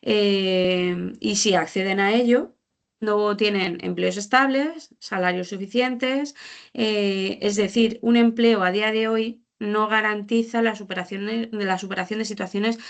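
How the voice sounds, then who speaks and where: A woman's voice: mid-range voice, steady tone, a little slow, creaky. A woman presenting report findings informally, explaining hesitantly and often rephrasing or repeating her points. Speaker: social policy presenter.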